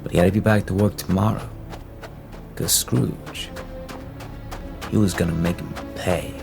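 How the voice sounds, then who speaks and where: A man playing a reluctant, folksy storyteller who narrates in a halting, informal way in an audio drama. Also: sits low, fairly even intonation, creaky, full character voice. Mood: hesitant, musing. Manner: halting, informal, plain-spoken. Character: reluctant, folksy male storyteller retelling a Christmas tale. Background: music.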